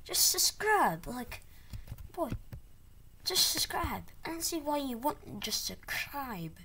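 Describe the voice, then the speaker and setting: A woman voicing a plush fox toy who loudly and insistently begs viewers to subscribe. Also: sits high, very animated, slow pace, slight background noise, pitched above the performer's own voice, full character voice. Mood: pushy.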